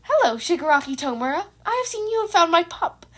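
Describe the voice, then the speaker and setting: A woman's voice: very high-pitched, very animated, brisk, a bit bright, voice pushed up, exaggerated voice. Woman as a formal, protective male creature mentor. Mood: politely threatening. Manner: formal, polite, self-assured. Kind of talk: audio story character voice.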